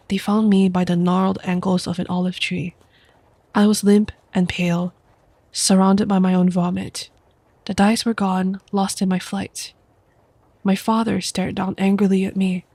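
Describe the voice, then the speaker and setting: Soft husky young woman reading aloud. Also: mid-range voice, no echo. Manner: soft, quiet, unhurried reading voice. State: sick with a stuffy nose. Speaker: VTuber streamer. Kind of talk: soft ASMR book reading.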